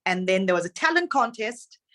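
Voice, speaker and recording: A woman's voice: mid-range voice, sing-song, fairly quick. Guest: middle-aged woman from Zimbabwe, singer, chatty and reflective storyteller. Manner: warm, reflective storytelling with laughs. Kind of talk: casual interview chat.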